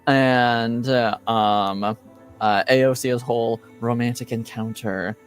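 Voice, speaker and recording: A man's voice: medium pitch, fairly even intonation, faint music behind. Laid-back man hosting a tabletop game. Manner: laid-back, laughs easily, halting recap. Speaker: game master. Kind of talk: casual chat running a tabletop game.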